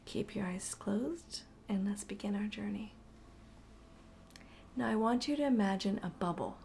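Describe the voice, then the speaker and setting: A woman narrating a guided meditation slowly and soothingly, her breathy voice often dropping toward a whisper. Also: mid-range voice, a little mellow, a little hiss or hum.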